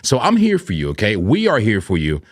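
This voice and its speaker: A man with a smooth, low radio-style voice hosts a casual trading stream. His delivery swings widely in pitch and stays playful, with a frequent throat-clear. The sound is close and dry. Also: a little fast, dry recording. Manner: animated, playful, laughs often. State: throat irritated from vaping. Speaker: co-host of a crypto trading stream. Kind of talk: casual live-stream banter.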